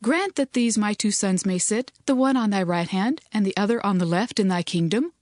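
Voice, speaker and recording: Earnest biblical mother actress, mid-pitched and formal, pleading for her sons, very dry recording. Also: fairly quick, darkish tone, no room sound, natural voice. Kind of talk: dramatized scripture acting.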